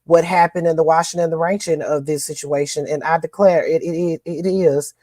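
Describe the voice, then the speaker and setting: Lady cohost, chatty and folksy, kinda low voice, dry close sound. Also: fairly even intonation, fairly quick, dark tone, no echo. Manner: chatty, folksy, many fillers and restarts. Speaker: co-host. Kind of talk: casual podcast chat, recapping news.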